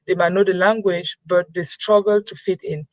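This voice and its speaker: A woman's voice: lowish voice, steady tone, normal speed, dry recording. Earnest woman presenting on a webinar. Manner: repeats key phrases for emphasis.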